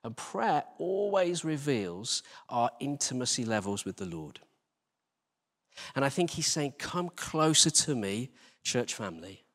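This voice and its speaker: A man's voice: medium pitch, very animated, unhurried, a bit bright. British man preaching in a reverberant hall. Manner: earnest, conversational, addressing listeners as folks. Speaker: church leader preaching. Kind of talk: informal sermon. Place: large church hall, live service.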